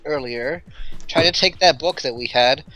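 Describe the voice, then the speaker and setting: A man's voice: fairly high pitch, normal speed, bright, forceful tone, soft background music, poor audio. A man talking casually as a player in a tabletop role-playing game. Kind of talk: casual chat.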